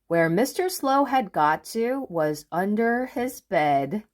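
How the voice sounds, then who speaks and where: A woman reads a children's storybook aloud. Her pitch moves in very animated sweeps over an unhurried, measured pace, and she phrases things dramatically for young listeners. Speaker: children's book reader. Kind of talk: expressive storybook read-aloud.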